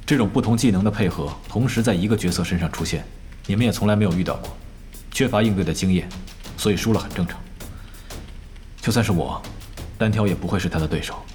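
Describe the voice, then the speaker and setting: Actor as a composed, low-voiced male team captain. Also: darkish tone, faint music behind, close to an everyday voice. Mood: calm, serious. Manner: measured, serious briefing. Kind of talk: Chinese audio drama acting.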